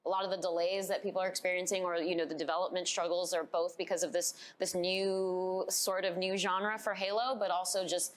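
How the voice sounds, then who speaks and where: Low-voiced woman, thoughtful panel talk. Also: little pitch movement. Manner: thoughtful, measured, with fillers. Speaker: games critic on a panel. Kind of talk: conversational panel discussion.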